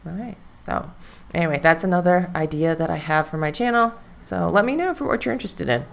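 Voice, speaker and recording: A woman's voice: fairly low pitch, brisk, creaky. A woman hosting a live stream, talking casually and rambling with fillers. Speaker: livestream host.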